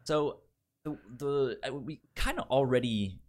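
A man's voice: mid-range voice, a little slow, a little mellow. A man co-hosting a podcast in casual chat, often repeating syllables and words as he stammers through a sentence. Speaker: podcast co-host.

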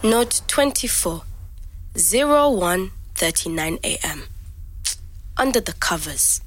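A woman playing a reflective young migrant, speaking measured, introspective fragments as a scripted audio diary. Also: lowish voice, lively intonation, rough recording. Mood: pensive, weary. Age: young adult. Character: reflective young African migrant woman recording private night-time notes.

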